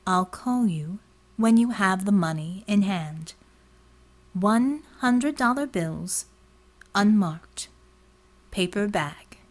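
A woman voicing a cryptic, demanding informant in an audiobook, delicate and breathy, speaking in a carefully neutral, measured way. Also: mid-range voice, animated. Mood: coolly neutral. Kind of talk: audiobook character dialogue.

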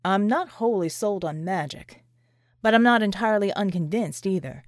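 A woman voicing a skeptical, wary American man with a gruff, folksy drawl, in an audiobook story. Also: high-pitched, lively intonation, normal speed, no room sound, studio quality.

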